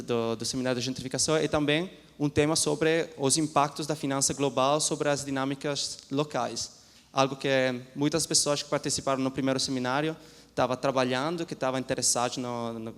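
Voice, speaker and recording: A man, a law professor, giving a seminar talk in a lecture hall, speaking haltingly with many restarts and a mix of languages. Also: mid-range voice. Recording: long hall reverb.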